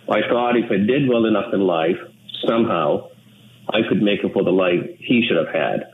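A man from Sri Lanka, an English speaker, talking in an earnest, measured way as an interview guest. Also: lowish voice. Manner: measured, earnest, with frequent restarts. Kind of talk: reflective interview talk. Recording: tinny phone line with faint noise.

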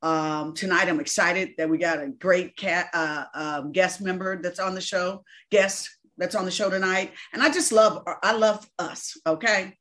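A woman's voice: on the low side, steady tone, measured pace, few pauses, fairly bright tone. A woman co-hosting a casual panel talk show, speaking expressively and with some drama.

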